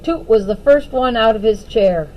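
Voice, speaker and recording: A woman reading a children's picture book aloud as an expressive storyteller. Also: a little high, normal speed, a little hiss or hum, poor audio.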